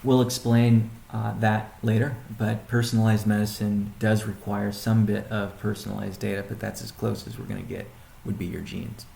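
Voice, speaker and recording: Man, company executive, business Q&A, gravelly creak, deliberate and halting, roomy echo. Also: fairly low pitch, little pitch movement, mellow, slight background noise. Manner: halting, deliberate, with frequent pauses and restatements. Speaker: company CEO hosting a weekly conference call. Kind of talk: question-and-answer business briefing. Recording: roomy, echoing sound.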